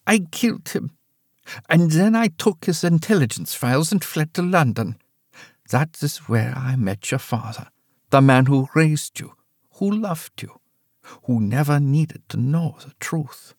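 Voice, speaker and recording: A man voicing a sharp, guarded woman in her nineties who speaks quietly and steadily, in a storybook reading. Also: a little high, animated, measured pace, no room sound, studio quality, pitched above the performer's own voice. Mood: resigned steady. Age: 90s.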